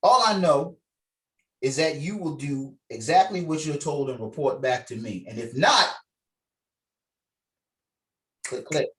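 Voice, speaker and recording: A man's voice: a little high, animated, normal speed, fairly bright tone. A man playing a stern, sneering parole officer in a script table read, threatening and mocking, with clipped orders. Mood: stern warning. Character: stern, menacing, sneering male parole officer on a video call. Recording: distant mic on online call.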